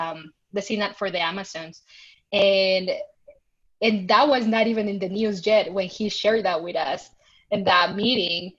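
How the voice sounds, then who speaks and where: A woman from Mexico with a Mexican accent, a podcast guest earnestly recounting a personal experience over a video call. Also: fairly low pitch. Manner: earnest, thoughtful, recounts with emphasis. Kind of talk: reflective personal storytelling. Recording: muffled lo-fi video call audio.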